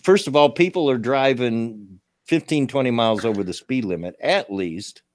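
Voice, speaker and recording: A man in his late fifties with a gravelly voice, hosting a casual livestream talk show and reading local news in a folksy, rambling way. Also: mid-range voice, lively intonation, normal speed, a little mellow. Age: 50s. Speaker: show host.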